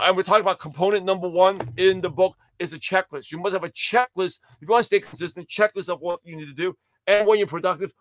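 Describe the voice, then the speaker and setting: A man in his forties hosting a live morning pep talk from a home studio, energetic and upbeat, his voice slightly raspy and tired. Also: sits high, no echo. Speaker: livestream host and network marketing coach. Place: home studio office with shelves.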